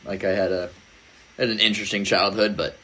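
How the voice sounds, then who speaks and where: Laid-back young male guest, slightly low voice, loose rambling conversational delivery. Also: flat delivery, a little fast, sharp tone, slight background noise, rough recording. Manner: laid-back, rambling, says "like" and "dude" often. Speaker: podcast guest. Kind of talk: casual conversation.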